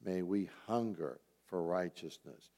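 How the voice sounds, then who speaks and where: Low-voiced preacher in a reverberant hall. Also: male voice, measured pace, gravelly, dark tone. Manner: earnest, urging, repeats key phrases for emphasis. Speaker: pastor teaching a church group. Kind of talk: sermon, exhortation with rhetorical questions. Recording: roomy hall with long reverb.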